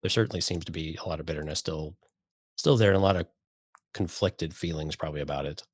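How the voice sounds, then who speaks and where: A man's voice: rumbling low, fast, dry recording, clean studio sound. A man co-hosting a podcast, chatting casually and giving opinions in a wry, sarcastic, incredulous way. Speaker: podcast co-host.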